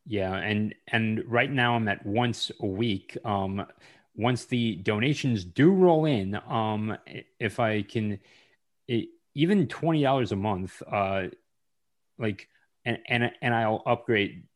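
A man's voice: fairly low pitch, takes it slowly. A man hosting a podcast interview, hesitant, with many fillers and restarts. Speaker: podcast host. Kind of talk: casual interview.

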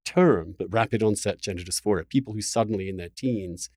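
A man hosting a spirited interview debate, halting and insistent, often repeating words mid-sentence. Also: on the low side, a little mellow, dry recording, clean studio sound. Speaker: interview host.

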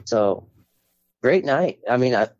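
A man with a hoarse voice co-hosting a fight podcast, excitable and chatty, often repeating words. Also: medium pitch, slow, dry recording. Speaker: podcast co-host. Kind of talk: casual post-fight analysis. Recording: dry, dull lo-fi audio.

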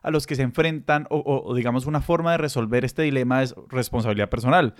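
A man in casual discussion, measured and precise, a little pedantic. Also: mid-range voice.

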